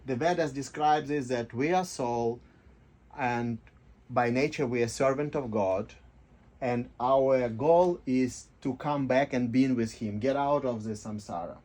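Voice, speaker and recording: Man, medium pitch, interview guest, explanatory, halting short phrases, repeats words. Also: a little mellow. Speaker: interview guest, life coach and kirtan teacher. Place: radio studio.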